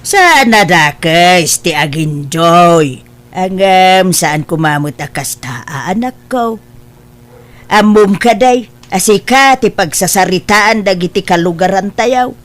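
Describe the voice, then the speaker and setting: Slow, gentle Ilocano mother, played by a woman. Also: fairly low pitch, animated. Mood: worried. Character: gentle, worried, forgiving mother of grown children. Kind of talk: Ilocano audio drama acting.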